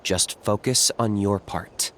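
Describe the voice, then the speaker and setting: A man's voice: fairly low pitch, steady tone, slow pace, a little hiss or hum. A man playing a composed young mentor in a video game, giving dry, matter-of-fact instructions. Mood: reassuring. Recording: game audio with loud background music.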